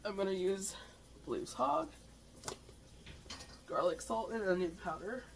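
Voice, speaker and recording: A woman's voice: mid-range voice, takes it slowly, vocal fry, a little hiss or hum, poor audio. A woman, chatting casually while she cooks in her home kitchen, her voice a bit worn from allergies. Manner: chatty, relaxed, with fillers and stumbles. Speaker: cooking vlogger. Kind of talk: casual cooking vlog narration. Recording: kitchen clatter in background. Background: clinking dishes, pots and pans.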